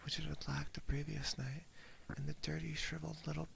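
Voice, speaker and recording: A man reading a story aloud as ASMR in a soft, hushed, unhurried whisper, close to a binaural microphone. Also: a little high, level tone, vocal fry, slight background noise. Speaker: ASMR streamer.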